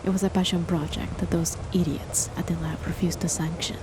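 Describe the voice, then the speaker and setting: A woman playing a controlling, sinister chemist who is the listener's partner, in an ASMR horror roleplay, talking softly in a half-whisper, politely but with quiet threat. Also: fairly low pitch, fairly quick, close to an everyday voice. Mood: quietly menacing. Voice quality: soft, whispery delivery. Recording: steady rain noise behind the voice. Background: rain.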